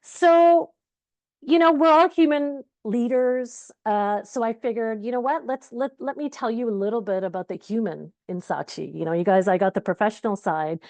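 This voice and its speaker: A middle-aged woman from Uganda who grew up in Canada, giving an energetic, conversational keynote talk over a video call. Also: fairly high pitch, normal speed, dry recording. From Uganda, raised in Canada. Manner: energetic, conversational, many fillers. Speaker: conference keynote speaker. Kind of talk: virtual conference talk.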